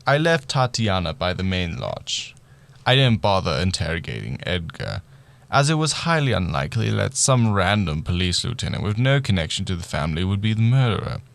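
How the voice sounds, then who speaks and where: A man's voice: low voice, big pitch swings, moderate pace, vocal fry, no echo, full character voice. A man playing a bumbling, oblivious detective who dramatically narrates a murder mystery in a radio play. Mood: matter-of-fact narration.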